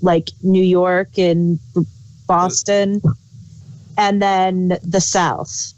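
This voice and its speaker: A woman's voice: lowish voice, flat delivery, extremely slow, a little mellow, highs cut off. A woman chatting casually as a co-reviewer, repeating her points for emphasis. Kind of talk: casual chat.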